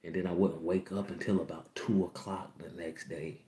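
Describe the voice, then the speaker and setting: Deep-voiced young man telling his story. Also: level tone, normal speed, warm tone, reverberant. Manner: candid, rambling, repeats himself. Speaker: podcast host. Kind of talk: personal storytelling to a livestream audience.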